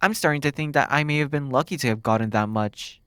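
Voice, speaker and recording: A man's voice: mid-range voice, fairly bright tone, clean tone, dry recording, close to an everyday voice. Teasing demon captor in intimate, dry ASMR, acted by a man. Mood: puzzled, frustrated. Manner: teasing, drawn-out phrasing with musing pauses. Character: flirty, teasing male demon captor, smug then exasperated. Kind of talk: ASMR roleplay monologue.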